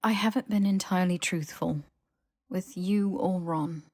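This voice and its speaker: A woman voicing an anxious, earnest young witch in an audiobook story, halting and fervent as she confesses. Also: lowish voice, darkish tone, dry recording, studio quality. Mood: nervous, apologetic. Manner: breathless, halting, fervent, slightly manic.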